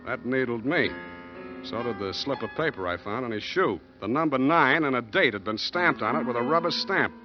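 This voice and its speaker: Guy doing a deadpan tough private eye, old 1940s radio show, dull sound, music under it. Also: medium pitch, moderate pace, natural voice. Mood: wry, hard-boiled. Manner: wry, clipped, deadpan. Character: wisecracking hard-boiled male private detective. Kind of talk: 1940s radio detective drama, first-person narration. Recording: muffled 1940s radio recording, music behind.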